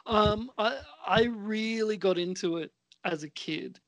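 A woman in her forties co-hosts a podcast in casual conversation. She talks slowly and hesitantly, wandering through her thoughts. The sound is dry, with next to no room on it. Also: mid-range voice, no room sound. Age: 40s. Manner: hesitant, rambling. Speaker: podcast co-host. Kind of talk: casual chat.

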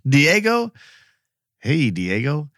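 A man's voice: fairly high pitch, huge pitch swings, extremely slow, a bit bright, dry recording, studio quality. A man co-hosting a podcast, chatting casually with playful questions and joking interjections. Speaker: podcast co-host. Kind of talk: casual chat, reacting and asking questions.

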